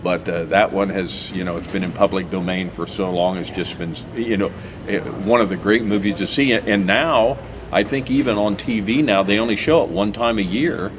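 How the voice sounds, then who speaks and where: A man's voice: deep voice, normal speed, background noise. A man hosting a local interview show, chatty, often restarting and repeating words. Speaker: local TV host. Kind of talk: casual interview chat.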